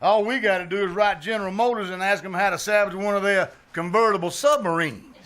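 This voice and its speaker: A man's voice: high voice, quick pace, fairly bright tone. A man playing an exasperated, sarcastic bandleader husband with a folksy, wisecracking manner in a 1940s-style radio sitcom. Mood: dry sarcasm. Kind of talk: 1940s radio sitcom acting, live stage re-creation. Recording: live theater stage, faint noise.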